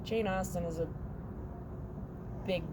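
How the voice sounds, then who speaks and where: A woman co-hosting a casual chat, blunt and sarcastic, with a low voice for a woman. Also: steady tone, takes it slowly. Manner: blunt, sarcastic, laughs often. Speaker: podcast co-host. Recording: loud traffic noise outdoors.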